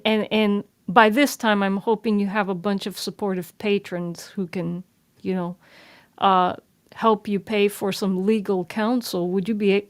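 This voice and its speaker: A woman's voice: mid-range voice, darkish tone. A woman co-hosting a casual discussion, repeating words as she builds up her questions and points.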